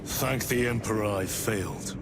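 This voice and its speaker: An actor playing a dutiful space marine sergeant in a video game, speaking formally and earnestly. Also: male voice, moderate pace, close to an everyday voice. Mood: contrite. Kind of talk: video game cutscene acting. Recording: loud music and rumble.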